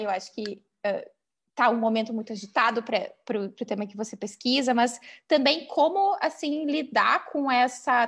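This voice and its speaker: A woman with a Brazilian accent in an informal academic discussion, speaking as a host who thinks aloud in hedged, halting phrases. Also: a little high.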